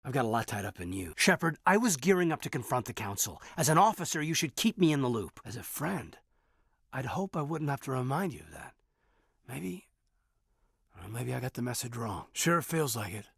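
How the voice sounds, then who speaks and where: An actor playing an earnest, loyal space marine officer in a video game, speaking sincerely and with slight hesitation in a husky voice. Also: male voice, mid-range voice, big pitch swings, brisk, fairly bright tone, no echo, studio quality, exaggerated voice. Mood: hurt. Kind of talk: naturalistic video game dialogue acting.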